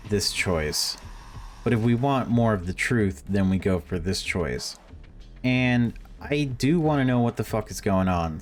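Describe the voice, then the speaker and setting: A man's voice: low-pitched, slight background noise. A man giving casual playthrough commentary as a game streamer, informally thinking aloud.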